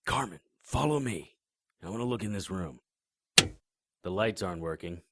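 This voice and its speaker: A man's voice: low voice, lively intonation, normal speed, no room sound. A man playing a wisecracking monster hunter in an audio drama, speaking in a dry, sardonic, matter-of-fact way. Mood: wry. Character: wisecracking middle-aged male monster hunter. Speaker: vampire hunter and narrator.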